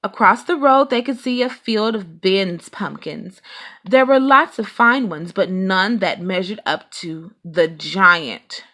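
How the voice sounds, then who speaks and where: A woman reading a children's storybook aloud in an expressive, deliberate storytelling manner. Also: mid-range voice. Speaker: read-aloud reader.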